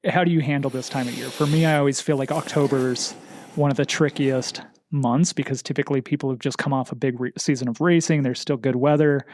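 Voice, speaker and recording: A man hosts a training podcast in a mid-pitched, ordinary voice. He asks friendly questions that drift and restart before landing. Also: darkish tone, dry recording. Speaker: podcast host, cycling coach. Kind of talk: conversational podcast interview.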